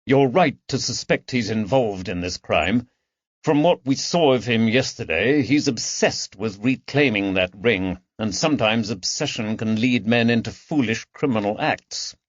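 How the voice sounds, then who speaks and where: A man voicing a composed, methodical police detective with a measured, authoritative manner in an audiobook. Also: medium pitch, animated, no echo, highs cut off. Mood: businesslike. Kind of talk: audiobook character dialogue.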